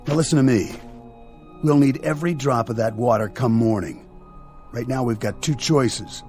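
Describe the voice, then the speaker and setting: A man's voice: medium pitch, normal speed, a little mellow, soft background music, natural voice. Man acting a stern, hard-nosed military spaceman. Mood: urgent, commanding. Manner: commanding, scolding. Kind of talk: radio drama acting.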